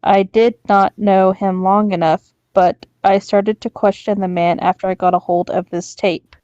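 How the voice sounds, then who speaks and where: A woman's voice: mid-range voice, fairly even intonation, moderate pace, a little mellow, dry recording. A woman co-hosting, reading a story aloud and chatting casually, on a dull lo-fi microphone. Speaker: co-host reading and reacting to online horror stories.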